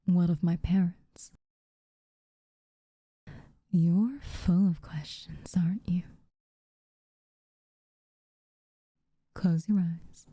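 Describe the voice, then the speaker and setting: A woman voicing a guarded, haughty yet secretly tender witch in an ASMR roleplay, softly and breathily, with slow, formal phrasing. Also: fairly low pitch, fairly even intonation, dry recording. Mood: firm, gentle. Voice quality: soft, breathy, often near-whispered. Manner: slow, formal, measured wording with reluctant warmth.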